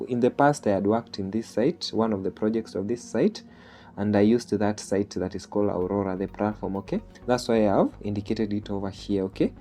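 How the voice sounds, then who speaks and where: A man's voice: fairly low pitch, warm tone. A man from Kenya with a Kenyan accent, a native Swahili speaker, walking through a screen-share tutorial step by step and often checking in with "okay?". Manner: explaining step by step, ends phrases with "okay?". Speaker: online jobs tutorial host.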